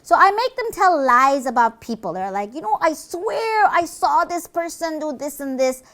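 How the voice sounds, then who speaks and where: A woman's voice: high-pitched, very animated, normal speed, a bit bright. A woman voicing a boastful, gleeful demon henchman in a puppet show, chatty and theatrical as the demon gloats. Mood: gloating, diabolical.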